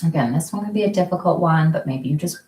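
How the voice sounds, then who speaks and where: A woman slowly and calmly leading a guided mindfulness exercise from her kitchen at home, with many pauses. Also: sits low, reverberant. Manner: slow, soft, calm guiding with frequent pauses. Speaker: mindfulness group leader. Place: kitchen or dining room at home.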